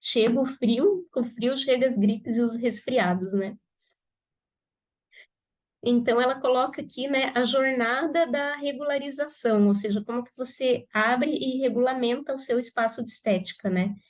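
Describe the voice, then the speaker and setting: A woman's voice: a little high, steady tone, normal speed. A Brazilian woman pharmacist giving a live class to students, talking conversationally with a congested, cold-stuffed voice and frequent "né?" tags. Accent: Brazilian Portuguese. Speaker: pharmacist teaching aesthetics students. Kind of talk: live online class talk.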